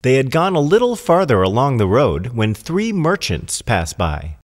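Animated male storyteller in long reverb. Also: medium pitch, normal speed. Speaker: narrator. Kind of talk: scripted storybook narration.

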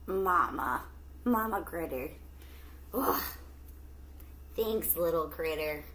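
A middle-aged woman teacher reading a picture book aloud to children, with expressive, drawn-out storytelling and questions to the young listeners. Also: deep voice, slight background noise. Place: small indoor room at home with plants.

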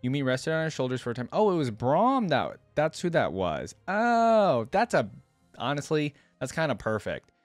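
A young man giving casual reaction commentary, reading aloud and musing with expressive exclamations into a close desk microphone. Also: on the high side, normal speed, no echo, faint music behind. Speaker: reaction video host. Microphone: close desktop microphone.

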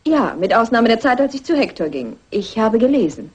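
Husky, composed lady suspect. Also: fairly high pitch, big pitch swings, brisk. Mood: guarded. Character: composed, reserved married lady, murder suspect. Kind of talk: German radio crime drama acting. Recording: dull, lo-fi radio play recording.

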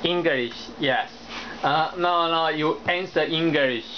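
Slow-paced man prompting a young child. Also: a little high. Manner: short, repeated prompts and instructions. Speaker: parent quizzing a young child. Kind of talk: casual bilingual vocabulary practice with a child. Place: small bedroom at home. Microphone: handheld camera's built-in microphone. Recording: dull, noisy home camera audio.

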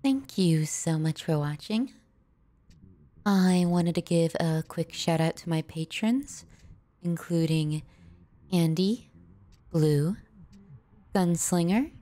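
A woman hosting an ASMR channel, speaking softly and low-key in a quiet thank-you to supporters.

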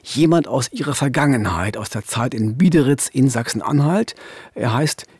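A man in his fifties with a husky voice recounts a criminal case as a presenter. He speaks deliberately, leaning on key phrases, in conversational narration. The studio sound is close and dry. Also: medium pitch, lively intonation, darkish tone, no echo. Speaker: true-crime show presenter. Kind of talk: conversational scripted crime narration. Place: indoor radio studio. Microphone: studio boom microphone.

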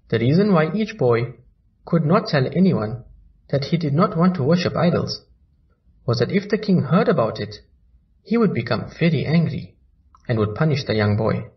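A man narrating a children's story in a slow, lively storybook manner. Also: a little high, muffled recording.

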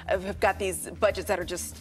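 A woman's voice: medium pitch, steady tone, brisk, faint music behind. A woman hosting a morning TV show, chatty and enthusiastic, interviewing guests in a studio. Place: TV studio set.

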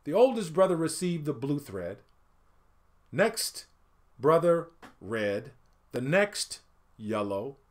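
A middle-aged man reading a picture book aloud as an expressive storyteller, with deliberate, emphatic phrasing that falls away at phrase ends. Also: a little high. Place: indoors, small home studio room.

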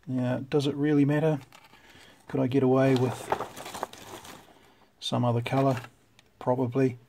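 Male, New Zealand accent, mid-pitched. Hobbyist repairer explaining casually at the bench, with noticeable background noise. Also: flat delivery, a little slow. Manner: conversational, thinking aloud. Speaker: vintage radio repairer presenting. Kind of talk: casual explanatory workbench talk. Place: indoors at a workbench. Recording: clear background noise with clicks.

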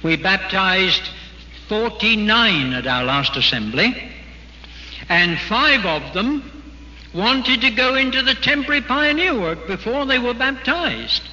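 A man's voice: high voice, lively intonation, measured pace, sharp tone, slight background noise. A man giving an earnest, exhorting sermon at a religious convention. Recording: dull archival recording in a big hall.

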